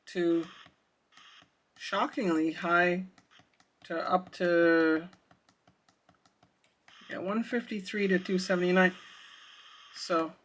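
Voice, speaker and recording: A reviewer giving a casual product demonstration, thinking aloud in a hesitant way. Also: medium pitch. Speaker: radio reviewer.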